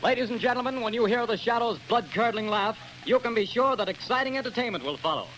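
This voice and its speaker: A man announcing in a booming, emphatic 1930s radio style. His voice sits high, and faint music plays behind him on the old broadcast recording. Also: expressive, fast talker, fairly bright tone, rough recording.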